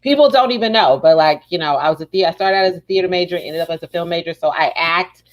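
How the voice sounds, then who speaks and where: Woman, American accent, slightly low pitch, casual podcast host, halting, repetitive phrasing. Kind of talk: casual hosting chat.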